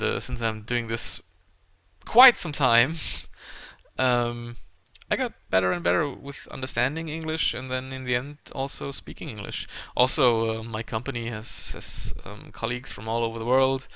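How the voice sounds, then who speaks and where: Halting, gravelly German-accented man. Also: medium pitch, pushed and bright, no room sound. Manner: hesitant, halting, chuckles at himself. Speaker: Let's Play gaming video host. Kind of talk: casual rambling chat while gaming.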